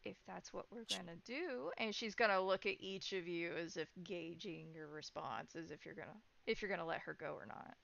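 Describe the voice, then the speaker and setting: A woman's voice: lowish voice, fairly quick, vocal fry, hardly any pauses, a bit bright, a little hiss or hum. A woman acting as game master, narrating a tabletop horror roleplay and explaining things to players. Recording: dull, band-limited sound.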